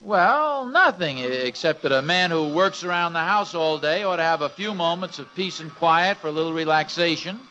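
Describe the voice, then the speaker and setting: A fussy, hesitant sitcom husband who grumbles through an old radio comedy. His voice is high and bright, and the recording is dull with faint noise. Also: lively intonation, moderate pace, few pauses. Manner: hesitant, exasperated, repetitive. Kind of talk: mid-century radio sitcom acting.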